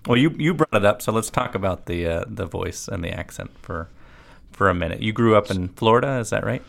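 Male podcast host, very low pitch, heavy vocal fry, relaxed, hesitant, casual questions. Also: fairly quick, soft background music. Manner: relaxed, hesitant, many ums.

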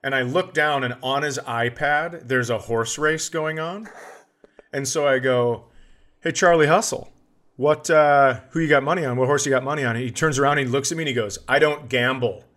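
Male comedy co-host with an average-pitched voice, casual and wry, swears freely while relaying who said what. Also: moderate pace, fairly bright tone. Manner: wry, swearing, quotes dialogue.

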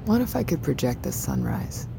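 A woman's voice: deep voice, sing-song, moderate pace, creaky, background noise, full character voice. An actress playing an intense, demanding woman who runs a tech company, in a naturalistic audio drama. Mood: musing, visionary. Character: driven, imperious woman running a tech company.

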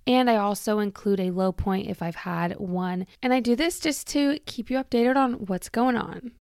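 Woman, podcast host, casual solo chat; candid and rambly, slightly tired, smooth clean voice on a close, dry mic. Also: mid-range voice, normal speed, darkish tone, dry recording. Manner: chatty and candid, heavy use of "like", self-interrupting. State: slightly tired, recovering from a long illness.

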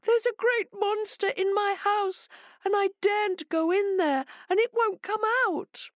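A woman's voice: very high-pitched, very animated, a little slow, vocal fry, fairly bright tone, no echo, studio quality, voice pushed up, heavily acted. A woman voicing a timid female hare in a storybook tale, plaintive, wailing and easily frightened. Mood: wailing, distressed.

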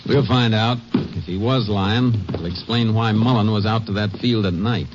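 An actor playing a terse, matter-of-fact, commanding Texas Ranger in a 1950s western radio drama. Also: male voice, on the low side, normal speed, very noisy, lightly acted. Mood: brisk, determined. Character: steady, commanding Texas Ranger, shrewd investigator. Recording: dull old radio recording.

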